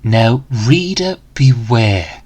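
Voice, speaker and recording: A man telling a children's story in rhyme. He takes it slowly and deliberately, with very animated swings in pitch and a dramatic, expressive delivery. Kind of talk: rhyming picture-book read-aloud.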